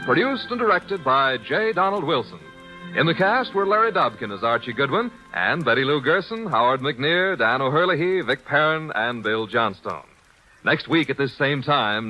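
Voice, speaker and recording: A man serving as a 1940s network radio announcer, reading credits and promotions in a crisp, emphatic, promotional way. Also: fairly high pitch, pushed and bright, rough recording.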